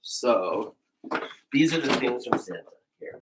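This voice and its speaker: A man's voice: mid-range voice, slow, heavy background noise. A man chatting casually at home with his partner, asking questions and joking around. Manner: lively, jokey, questioning. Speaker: host's partner. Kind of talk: casual chat.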